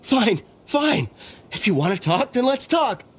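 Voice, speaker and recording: A man's voice: extremely high pitch, very animated, measured pace, husky, heavily acted. A man voicing an evasive merchant giving offhand answers, in video game dialogue.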